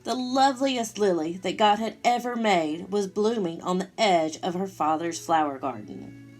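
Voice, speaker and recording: Woman, children's storyteller, expressive read-aloud, medium pitch, addresses young listeners directly. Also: moderate pace, soft background music. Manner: expressive, asks the listeners questions.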